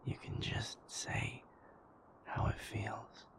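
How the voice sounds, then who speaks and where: A man playing a gentle, devoted werewolf boyfriend in an intimate ASMR roleplay, speaking in a breathy whisper with tender, halting reassurance. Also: natural voice. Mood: tender, reassuring. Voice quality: breathy whisper with gravelly low murmur.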